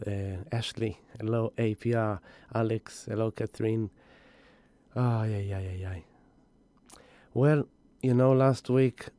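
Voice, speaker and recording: Husky, tired man with a low, mellow voice. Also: no echo. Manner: gentle, rambling, greets viewers by name. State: exhausted and grieving. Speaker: spiritual astrology host. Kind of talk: live stream energy update.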